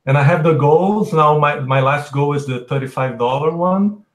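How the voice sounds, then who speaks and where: A man's voice: on the high side, normal speed. Brazilian man in an echoey room. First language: Portuguese. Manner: explains at length with restarts. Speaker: podcast guest. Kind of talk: casual chat. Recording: roomy echo.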